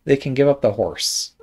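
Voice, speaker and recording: Slow, musing man thinking aloud. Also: mid-range voice, a little mellow, breathy edge. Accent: American Midwest. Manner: hesitant, musing, many ums and trailing thoughts. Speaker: shogi game reviewer. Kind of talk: casual game commentary while thinking aloud.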